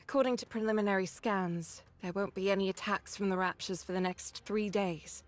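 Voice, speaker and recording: A composed, mature woman soldier from an anime game, acted by a woman, measured and gently firm. Her pitch is moderate, and soft music plays underneath. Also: steady tone, lightly acted. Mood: composed, businesslike. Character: calm, caring, mature female squad second-in-command.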